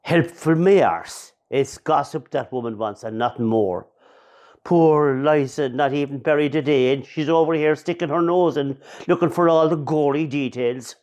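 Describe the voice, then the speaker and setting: An actor playing a grumpy, grieving older Irish father in a radio play, gruff and sardonic in manner. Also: a little high, moderate pace, darkish tone, natural voice. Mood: irritated.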